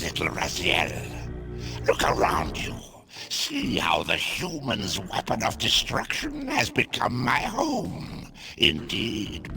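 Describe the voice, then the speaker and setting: An actor playing a monstrous insectoid vampire villain who taunts slowly in gravelly, hissing whispers, heard over game music. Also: male voice, on the low side, heavily acted. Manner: slow, taunting drawl. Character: monstrous insectoid male vampire, sneering, hungry.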